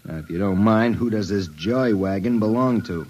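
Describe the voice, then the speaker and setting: A man's voice: lowish voice, moderate pace, a little mellow, muffled recording, close to an everyday voice. An American actor playing a cocky, slangy private detective in his thirties in a hard-boiled 1950s radio drama. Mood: wry, needling. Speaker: narrator and lead character. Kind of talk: 1950s radio drama acting, first-person narration. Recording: 1950s radio recording with music.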